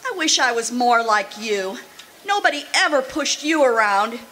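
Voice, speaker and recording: A woman playing a high-voiced, folksy saloon girl. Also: lively intonation, bright, forceful tone, poor audio. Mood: nostalgic. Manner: folksy, gushing, drawn-out. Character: kindly, excitable former gold-rush saloon girl, a ghost. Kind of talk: old-time radio drama acting.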